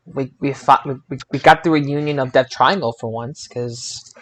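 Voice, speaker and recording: A man co-hosting a casual wrestling review podcast in a halting way, often repeating his words. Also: medium pitch, fairly even intonation, a bit bright. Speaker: podcast co-host.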